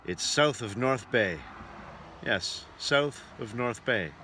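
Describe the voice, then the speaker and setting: A man's voice: mid-range voice, animated, slow, bright, forceful tone. A man who works as a travelling entertainer, chatting casually in a travel vlog. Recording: outdoor ambience and vehicle noise.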